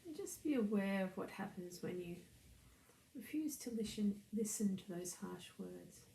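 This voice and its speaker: A woman leads a guided meditation as a breathing teacher, speaking in a soft, soothing, gently instructive way that turns breathy at times. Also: mid-range voice, moderate pace. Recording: roomy echo, faint background noise.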